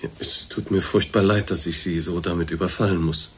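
An actor playing a courteous, awkward middle-aged boss in a radio play, speaking in a formal, hesitant, roundabout way with a slightly husky voice. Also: male voice, low voice, fairly even intonation, fairly quick. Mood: sympathetic, uneasy. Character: courteous, awkward male boss, discreetly breaking bad news. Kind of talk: German radio drama acting, naturalistic dialogue.

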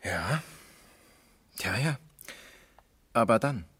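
Deep-voiced, probing police lieutenant. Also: male voice, expressive, fairly bright tone, husky, dry recording, full character voice. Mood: stern, probing. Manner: deliberate, probing, official. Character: pressing, methodical male police lieutenant questioning people. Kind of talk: 1970s German radio crime drama acting.

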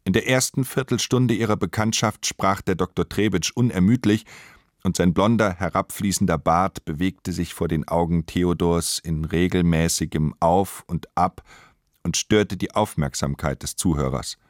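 A man's voice: sits low, normal speed, no echo, studio quality. A man, narrating literary prose for a radio play.

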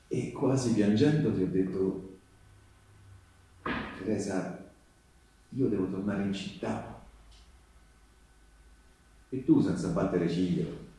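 A man performing a theatrical monologue as a wistful Resistance partisan recalling the war, confiding and halting, with drawn-out phrases and repetitions. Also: on the low side, dark tone, natural voice. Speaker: stage actor performing a monologue. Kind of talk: theatrical narrative monologue, intimate and colloquial. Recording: very echoey, music playing behind the voice. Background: live guitar music.

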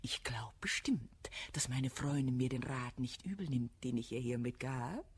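An actress playing a prudish, sanctimonious society lady who feigns friendly concern in a verse radio play, with a pious, drawn-out, insinuating delivery. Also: rumbling low, breathy edge, full character voice. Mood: sanctimonious reproach.

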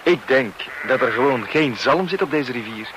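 A man's voice: medium pitch, expressive, moderate pace, background noise, close to an everyday voice. A man playing an easygoing, chatty businessman in radio drama dialogue. His voice sits in a middle register. He talks affably and conversationally. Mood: resigned. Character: easygoing, chatty New York businessman on holiday.

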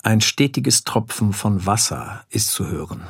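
An actor playing the sober narrator of a radio play, reading scripted scene descriptions. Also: male voice, fairly low pitch, lively intonation, measured pace, gravelly, breathy edge, full character voice.

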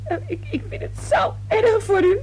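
A woman's voice: very high-pitched, lively intonation, normal speed, a little mellow. An actress playing a timid, tearful, apologetic young woman in a radio play, on a faintly noisy old recording. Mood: tearful, upset.

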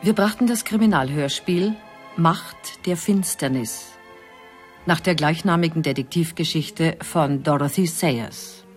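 A formal, measured radio announcer reading the credits of a radio play. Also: mid-range voice, faint music behind.

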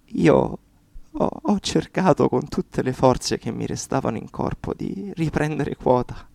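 An actor playing a weary, despairing political activist in a radio play, speaking haltingly and deliberately. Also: male voice, medium pitch, gravelly, a little mellow, no room sound. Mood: strained despair. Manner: halting, deliberate, with repeated words. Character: weary, despairing young male political activist reading his confession. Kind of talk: radio theatre monologue.

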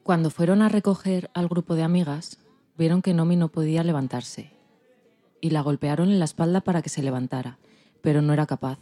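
A woman's voice: low-pitched, fairly even intonation, brisk, mellow. A woman with an accent from Spain, narrating expressively as a podcast storyteller. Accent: Spanish (Spain).

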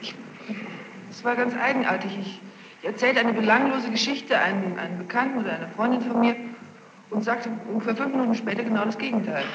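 A woman giving courtroom testimony as a witness, defensive and halting, often repeating herself. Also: on the high side. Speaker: witness under questioning. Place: large echoey courtroom, archive tape. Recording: very noisy muffled tape, echoey hall.

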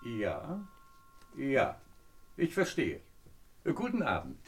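An actor playing a formal, dutiful English butler in a 1970s German radio crime comedy, stiffly courteous and deferential. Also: male voice, mid-range voice, wildly swooping pitch, slow pace, background noise, full character voice.

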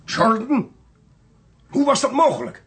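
A man's voice: very high voice, very animated, measured pace, exaggerated voice. A man playing an intrusive, theatrical character in a radio drama. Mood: inquisitive.

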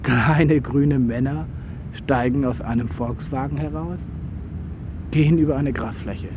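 A man's voice: mid-range voice, measured pace. A man speaking poetic observations into a recorder in fragmented phrases that pile up like lists. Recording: field recording with traffic noise.

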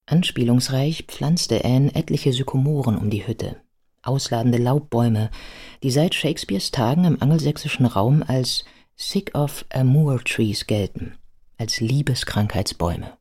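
A man narrating a documentary radio drama from a script in a measured, literary storytelling manner. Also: medium pitch, darkish tone, husky.

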